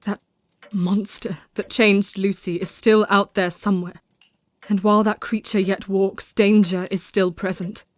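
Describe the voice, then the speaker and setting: Actress as a young Victorian heroine, resolute, formal phrasing, earnest, audio drama. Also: female voice, mid-range voice, flat delivery, a little slow, no echo, natural voice. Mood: somber. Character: Mina, resolute grieving young Victorian Englishwoman.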